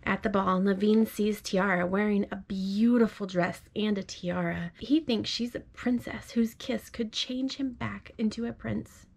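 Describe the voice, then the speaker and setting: A woman speaking gently, as a parent does to a small child at bedtime. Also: medium pitch, fairly even intonation, unhurried, creaky, hardly any pauses, slight background noise. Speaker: parent reading aloud. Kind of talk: bedtime storybook read-aloud. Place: bedroom at home.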